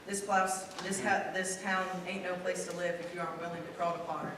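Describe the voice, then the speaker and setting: A weary but kindly small-town father with a high voice for a man, played by a male actor. He speaks earnestly in a stage radio play, in a very echoey hall with clear noise. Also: flat delivery, brisk, recorded from a distance, natural voice. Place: school theater stage.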